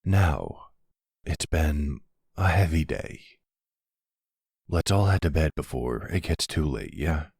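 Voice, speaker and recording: A man playing a gentle older mafia man and devoted partner, speaking softly and reassuringly in an intimate roleplay. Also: rumbling low, expressive, slow, creaky, pauses often, slightly rough, no echo, clean studio sound, full character voice. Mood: soothing, vulnerable. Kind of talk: intimate ASMR boyfriend roleplay.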